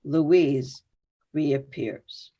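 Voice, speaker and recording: A woman's voice: sits low, fairly even intonation, very slow, dark tone, lo-fi sound. A woman reading stage directions as scripted narration for a play.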